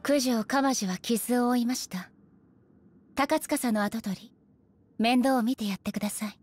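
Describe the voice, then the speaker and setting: An actress playing a stern, dignified female ruler in an anime game, speaking formally and with measured authority. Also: fairly high pitch, a little mellow, a little hiss or hum, lightly acted. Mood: stern, commanding. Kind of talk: Japanese anime game voice acting.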